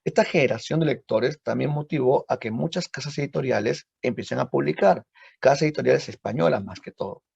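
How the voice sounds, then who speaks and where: A man teaching a literature class, lively and full of rhetorical questions. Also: mid-range voice, brisk, vocal fry, husky, dry recording. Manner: lively, asks rhetorical questions, frequent tag "¿no?". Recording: dull lo-fi dry audio.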